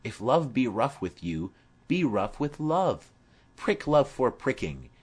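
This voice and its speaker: Boisterous, witty young gentleman for a Shakespeare audiobook, played by a man, theatrical, lively intonation, unhurried. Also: mid-range voice, husky, pitched above the performer's own voice, full character voice. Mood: teasing, bold. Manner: theatrical, declamatory. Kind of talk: dramatic Shakespeare audiobook reading.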